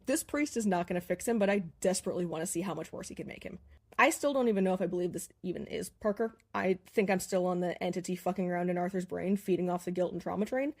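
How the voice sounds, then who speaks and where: A woman's voice: on the low side, darkish tone. A woman giving emphatic, casual fan commentary in a listener voice submission.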